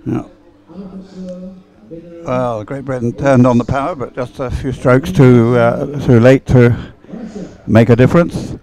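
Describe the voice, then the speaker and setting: A man's voice: medium pitch, steady tone, unhurried, pauses often, crowd noise, rough recording. A man giving live, unscripted sports commentary on a race in an informal, hesitant way, as if thinking aloud.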